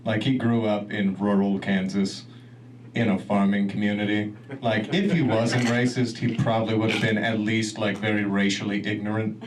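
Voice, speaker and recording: A man's voice: fairly low pitch, level tone, moderate pace. Guy doing stand-up at a club, rambly, kinda far from the mic, noisy room. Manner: rambling, self-deprecating, laughs at own jokes. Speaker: stand-up comedian. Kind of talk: stand-up set. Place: comedy club stage.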